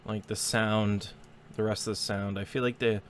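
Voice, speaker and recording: A man's voice: fairly low pitch, little pitch movement, moderate pace, a little hiss or hum. A man hosting a casual let's play, chatting through the game with apologetic asides. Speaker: host of a gaming channel. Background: game music.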